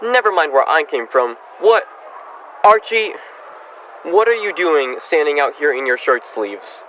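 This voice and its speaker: A young man playing a gruff, exasperated middle-aged father in a radio comedy, bossy and easily flustered. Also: on the high side, very animated, measured pace. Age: young adult. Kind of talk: old-time radio comedy acting. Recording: dull muffled audio, background noise.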